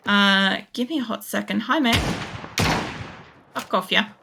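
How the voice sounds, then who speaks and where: A woman's voice: mid-range voice, unhurried, creaky, background noise. A young Australian woman with an Australian accent streaming a game, chatting casually with viewers, rambling with lots of fillers and swearing.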